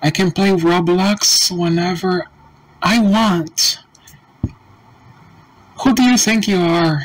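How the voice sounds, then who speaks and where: A synthetic text-to-speech voice playing a defiant, mocking young boy gamer in a cartoon, talking in a slow, stiff way. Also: medium pitch, no echo, natural voice, low-quality recording.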